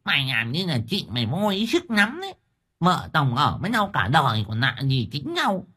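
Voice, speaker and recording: A man's voice: huge pitch swings, unhurried, husky, full character voice. A man voicing a coarse village wife who tells tales dramatically in colloquial speech within a narrated story. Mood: indignant. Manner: raised pitch, colloquial, dramatic.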